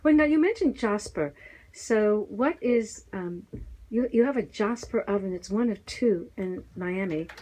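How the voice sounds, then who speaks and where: A woman hosting a radio talk show in a studio, brisk and guiding, often cutting in with short remarks. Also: mid-range voice, expressive, warm tone, rough recording. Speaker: radio host.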